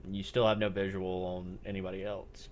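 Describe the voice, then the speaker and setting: A man acting as game master, narrating a role-play scene in a halting, descriptive way and putting questions to players. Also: low voice, level tone, crowd noise. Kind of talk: improvised narration of a tabletop-style zombie role-play.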